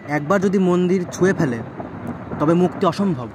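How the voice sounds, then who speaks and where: Man acting as shadow spirit, ominous, threatening, slow delivery, somewhat high voice, horror audio story, faint noisy ambience. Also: close to an everyday voice. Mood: ominous menace. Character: menacing shadow spirit of a haunted temple, laughing, ominous.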